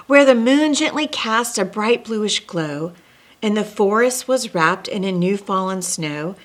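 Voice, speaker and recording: A woman hosts a children's read-along at an unhurried pace. Her voice sits at a medium pitch, and she delivers the story expressively, with deliberate pauses and emphasis. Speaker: storyteller and host. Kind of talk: children's picture-book read-along with asides.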